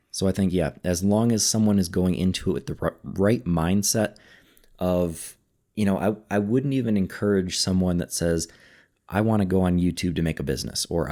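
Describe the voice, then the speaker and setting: Easygoing man with a very deep voice. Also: mellow. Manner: opinionated, easygoing, laughs readily. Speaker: podcast guest, YouTuber. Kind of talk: casual chat.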